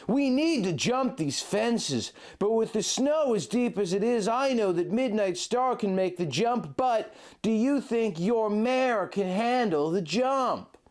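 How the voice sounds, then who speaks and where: A man voicing a gallant young male hero who is doting and earnest, with drawn-out, theatrical lines. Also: sits high, animated, darkish tone, voice pushed up. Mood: tender heroic.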